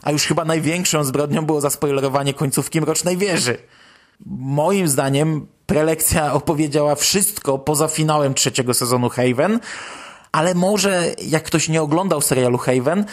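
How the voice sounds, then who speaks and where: Higher-voiced man hosting a podcast. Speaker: podcast host. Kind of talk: conversational podcast commentary.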